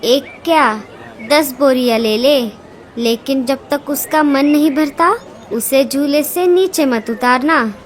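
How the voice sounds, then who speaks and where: High-voiced, protective old aunt bird played by a woman. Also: takes it slowly. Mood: commanding. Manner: firm, admonishing. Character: protective grandmotherly aunt bird, righteous and bossy. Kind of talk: animated children's story dialogue.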